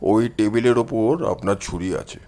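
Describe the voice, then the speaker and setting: A man's voice: fairly low pitch, a little slow, mellow, pitched below the performer's own voice. A man voicing a cool, assured amateur detective who gives orders in an audio storybook.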